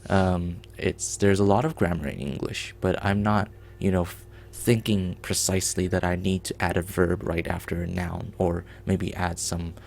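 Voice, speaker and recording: A man's voice: deep voice, steady tone, moderate pace, vocal fry, hardly any pauses, a little mellow. A man hosting a programming livestream, explaining in a rambling casual way with many ums and restarts.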